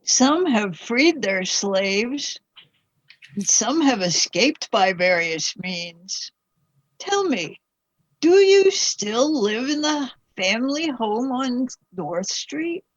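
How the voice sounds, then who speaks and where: A woman's voice: a little high, big pitch swings, very slow, highs cut off, heavily acted. A woman as an inquisitive old-time ghost. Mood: curious. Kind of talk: community radio play acting.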